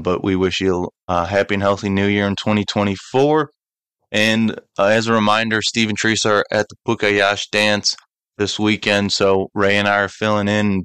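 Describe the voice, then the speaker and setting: A man's voice: lowish voice, a bit bright, clear, resonant tone, no room sound. Hesitant male radio host. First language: English. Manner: hesitant, many restarts and ums. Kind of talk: casual radio chat.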